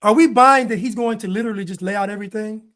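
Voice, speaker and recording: A man's voice: high voice, quick pace, fairly bright tone. A man giving mocking, incredulous commentary as an online show host. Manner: sarcastic, incredulous.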